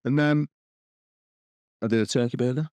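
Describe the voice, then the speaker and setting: A man's voice: mid-range voice, fairly even intonation, normal speed, darkish tone, no echo. A man co-hosting a podcast, rambling and restarting sentences as he lists the dishes he cooked. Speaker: podcast co-host. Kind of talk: casual chat.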